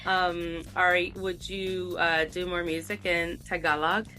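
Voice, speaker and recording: A woman's voice: fairly low pitch, level tone, pushed and bright, soft background music, low-quality recording. A woman hosting a radio interview, asking easygoing questions and chatting along with her guest. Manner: slow, easygoing questions with laughs. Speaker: radio host. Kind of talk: casual interview.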